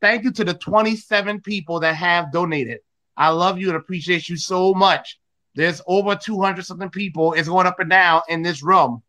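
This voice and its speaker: A man's voice: sits high, moderate pace, bright, forceful tone. A man hosting an online audio room, talking politics in an emphatic, preachy way with lots of repetition. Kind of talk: political talk.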